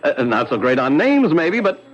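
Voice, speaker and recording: Chatty middle aged old school pal, highish swoopy voice, rambling and jovial, muffled 70s radio play. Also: male voice, big pitch swings, normal speed. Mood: puzzled, sheepish. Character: chatty, balding middle-aged male former high school classmate. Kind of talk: 1970s radio drama acting. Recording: dull 1970s radio broadcast.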